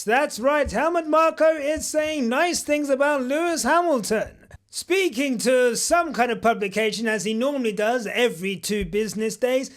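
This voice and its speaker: Man, very high voice, pompous parody newscaster, jokey asides. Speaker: comedic news host. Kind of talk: parody news commentary.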